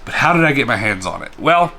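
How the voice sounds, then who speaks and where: A man's voice: mid-range voice, lively intonation, fast, bright, forceful tone, a little hiss or hum. A man chatting casually in a vlog, showing off his collection and rambling with self-deprecating humor. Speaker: collector vlogger. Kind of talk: casual show-and-tell vlog.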